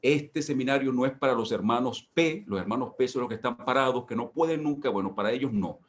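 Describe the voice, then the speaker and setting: A man's voice: medium pitch, fairly quick, a little hiss or hum. A man teaching as a pastor in an informal sermon style, emphatic and given to repeating key phrases. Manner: repetitive, emphatic, with rhetorical repetition. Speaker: pastor leading a church workshop.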